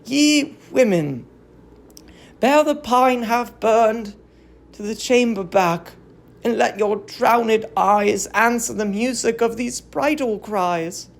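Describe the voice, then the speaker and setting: A woman acts out an aged fallen queen in a Greek tragedy. She laments with commanding sorrow, in a slow, raised voice with a creak in it. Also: a little high. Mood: anguished lament. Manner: declamatory, lamenting. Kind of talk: classical tragedy dramatic reading.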